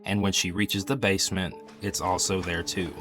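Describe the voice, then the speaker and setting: A man's voice: low-pitched, little pitch movement, normal speed, music in the background. A man delivering scripted analytical narration as the host of a horror review video.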